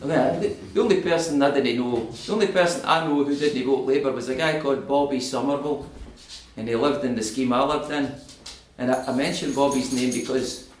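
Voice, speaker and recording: A man's voice: mid-range voice, fairly quick, slight background noise. A Scottish man in his fifties giving a passionate political talk to a local audience in a community hall, building to emphatic oratory. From Scotland. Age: 50s. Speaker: guest speaker. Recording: echoey room.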